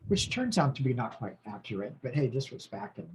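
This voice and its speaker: A man's voice: medium pitch, very animated, a little fast, a little hiss or hum, rough recording. A man in his sixties, a podcast guest from the United States, telling long rambling stories about his schooling in a casual chat. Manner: rambling, warm storytelling with laughs and restarts. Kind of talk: casual interview conversation.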